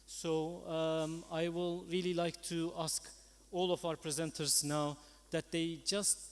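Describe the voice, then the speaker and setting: A man's voice: on the high side, level tone, slow, smooth. A man chairing a conference session, speaking politely and hesitantly in semi-formal, unscripted remarks. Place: large conference hall. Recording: echoey hall with long reverb.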